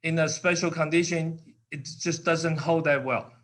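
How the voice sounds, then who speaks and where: An older man from Taiwan speaking English with a Taiwanese accent, in simple, halting sentences with fillers, as an interview guest. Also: on the high side, fairly even intonation, a bit bright. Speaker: guest, company founder.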